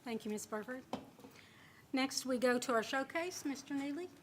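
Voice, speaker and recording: A woman's voice: high voice, normal speed, slight background noise. A woman chairing a school board meeting, calling it to order in a formal, procedural manner.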